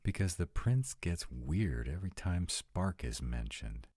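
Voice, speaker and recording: A man acts out a young male vampire, sardonic but troubled, in an intimate audio roleplay. His voice sits extremely deep, husky and mellow. He confides in a casual, sweary, hesitant way. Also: big pitch swings, unhurried, no room sound, studio quality, full character voice. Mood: uneasy, confiding.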